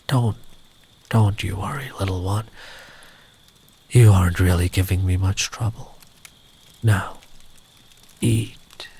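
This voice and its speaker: A man playing a big, kind traveller in the woods in an ASMR comfort roleplay, speaking gently and soothingly, often close to a whisper. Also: lowish voice, full character voice. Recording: clear background ambient noise.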